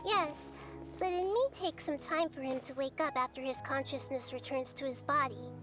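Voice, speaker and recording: A woman voicing a small, girlish goddess in a video game, soft, composed and polite. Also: high-pitched, normal speed, close to an everyday voice. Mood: calm. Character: gentle small girlish goddess, calm and wise. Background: game music.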